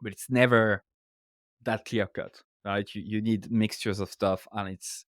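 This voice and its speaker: Man, French accent, non-native English, lowish voice, dry close sound, explanatory interview talk. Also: fairly even intonation, a little slow, a bit bright, no room sound. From France. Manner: explanatory, lots of "right?" and "so". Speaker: author and guest.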